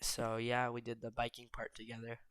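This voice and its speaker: A man's voice: mid-range voice, monotone, brisk, fairly bright tone, smooth. A teenage boy whose first language is Greek, chatting casually and telling a rambling story about his summer. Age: teens. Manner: rambling, self-correcting storyteller. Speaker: podcast co-host. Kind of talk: casual chat.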